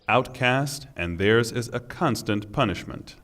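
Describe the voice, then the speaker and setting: A man reading a scripture translation in a formal, solemn and deliberate way. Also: mid-range voice, animated, fairly bright tone, in a large hall. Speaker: reader of Quran translation.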